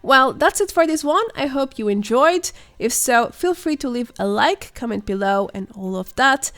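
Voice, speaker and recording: A young woman, hoarse and sounding unwell, giving scripted voice-over commentary as a gaming news host, speculative and explanatory in a measured way. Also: on the high side, lively intonation, fairly bright tone. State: hoarse, voice weakened by illness.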